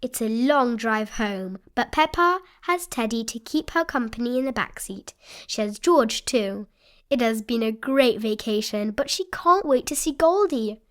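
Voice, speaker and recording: A woman narrates a children's storybook in a high, very animated voice. She takes her time with the sentences, and there is faint noise behind her. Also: measured pace. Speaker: storybook reader. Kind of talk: expressive read-aloud narration for small children.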